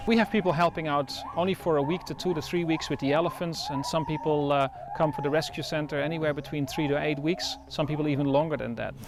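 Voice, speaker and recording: A man running a wildlife rescue center, speaking in an interview in a thoughtful way, with pauses and restarts. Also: fairly high pitch, fairly even intonation, noisy background.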